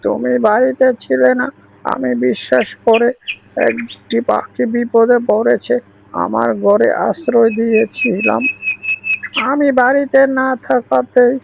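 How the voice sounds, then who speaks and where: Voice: medium pitch, steady tone, a little slow, creaky, lo-fi sound. A voice actor playing a kind, trusting female songbird who talks in a reassuring, welcoming way. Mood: distressed. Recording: noisy recording.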